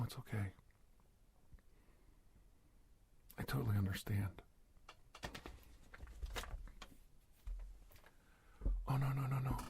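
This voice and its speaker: A man playing a calm, reassuring government agent in a soft-spoken ASMR roleplay, breathy and gravelly, hesitant and gentle, often dropping toward a whisper. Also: medium pitch, close to an everyday voice. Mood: soothing, hushed.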